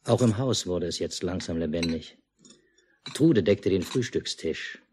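A man playing a calm, unruffled father who narrates in a measured way in a 1950s radio play. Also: low-pitched. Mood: calm, descriptive. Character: calm, unruffled family father narrating wartime lakeside life. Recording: noisy mono 1950s radio recording.

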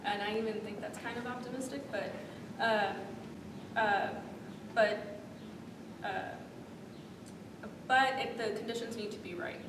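A woman's voice: on the high side, fairly even intonation, unhurried, pauses often, noisy background. A woman in her thirties, a startup founder, answering interview questions in an office meeting room with many fillers and restarts. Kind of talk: onstage interview. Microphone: far room camera. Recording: echoey room audio from far off.

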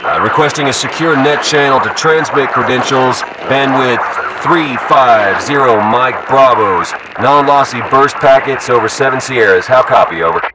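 A man playing a steady soldier who reports in terse, matter-of-fact military chatter through a radio filter. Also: medium pitch, moderate pace. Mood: businesslike. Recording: noisy, distant military radio transmission.

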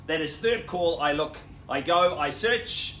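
A middle-aged New Zealand auctioneer runs a live property sale, mixing rhythmic bid calls with quick jokes. His voice sits a little high for a man. The sound is roomy and distant, picked up by a camera across a big indoor room with faint noise behind him. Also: expressive, sharp tone. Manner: showy, joking patter with bid chants.

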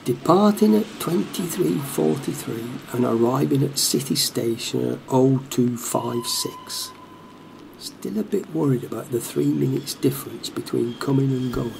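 A man's voice: medium pitch, lively intonation, unhurried, vocal fry, a little mellow, poor audio. A man playing a wistful, plain-spoken football supporter in a radio play monologue. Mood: weary, fretting. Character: wistful male football supporter narrating a train ride home. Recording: train noise behind the voice.

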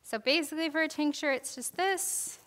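A woman's voice: high-pitched, brisk, a bit bright. A young woman giving an informal herbalism lesson in a church hall, reading from notes and then demonstrating, with many fillers and restarts. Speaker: herbalism class presenter. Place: church hall stage, large indoor room.

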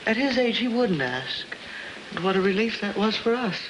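A woman's voice: mid-range voice, sing-song, normal speed, natural voice. An actress playing a worried, insistent middle-aged mother in a 1950s film drama. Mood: reproachful, pained. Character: worried, insistent mother confronting her husband. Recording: noisy old film soundtrack.

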